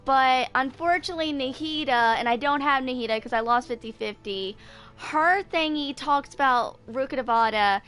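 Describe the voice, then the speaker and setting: A woman in her twenties giving casual, rambling game commentary, thinking aloud in a halting, distracted way. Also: high voice, a bit bright, clear, resonant tone. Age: 20s. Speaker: gaming video creator.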